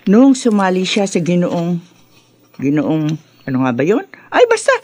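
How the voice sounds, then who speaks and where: A bubbly, animated Filipino mother. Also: on the low side, moderate pace. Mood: proud, gushing. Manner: effusive, boastful about her son, playfully scolding. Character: bubbly, doting, teasing single mother of a grown son. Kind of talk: Filipino radio drama acting.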